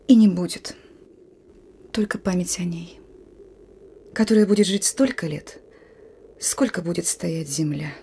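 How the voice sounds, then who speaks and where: A young wartime partisan woman, a gruff commander who is wistful underneath. She takes her words slowly, in radio drama style, with faint noise behind her. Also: medium pitch, darkish tone. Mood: yearning, solemn. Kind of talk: radio play acting.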